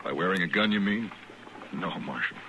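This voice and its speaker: An actor playing a principled, bookish sheep rancher with an American accent, articulate and quietly defiant, in an old-time radio western. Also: male voice, sits low, lively intonation, lo-fi sound. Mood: earnest, troubled. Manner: articulate, deliberate, earnest. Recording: noisy old radio recording.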